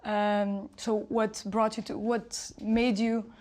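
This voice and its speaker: A woman's voice: medium pitch, level tone, takes it slowly, a little mellow. A young woman from Lisbon hosting a talk at her photography exhibition, friendly and hesitant with many fillers. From Portugal, Lisbon. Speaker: host, photographer.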